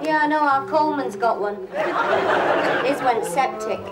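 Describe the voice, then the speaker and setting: A woman's voice: sits high, normal speed, over a noisy crowd, natural voice. An actress playing a blunt, cheeky young woman, dry and sarcastic, in a 1970s sitcom. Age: young adult. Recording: hissy old TV soundtrack.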